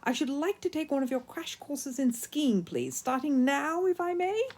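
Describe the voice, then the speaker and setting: Woman voicing an earnest, lively little storybook bear. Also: high voice, normal speed, slight background noise, voice pushed up. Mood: polite, eager. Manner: polite, earnest, exclaiming. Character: earnest, polite, easily indignant young male bear. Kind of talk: children's storybook character reading.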